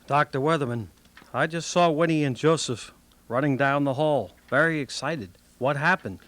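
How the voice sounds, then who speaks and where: A man's voice: fairly high pitch, moderate pace, a bit bright, natural voice. A man playing a loyal, scheming laboratory assistant in an old-time radio drama. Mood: keen, conspiring. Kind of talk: Golden Age radio drama acting.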